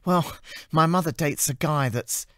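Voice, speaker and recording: A man's voice: on the high side, measured pace, fairly bright tone, no echo, studio quality, voice pushed up. A man voicing a cheerful, gossipy young female office assistant in an audiobook, chirpy, breathless and earnest.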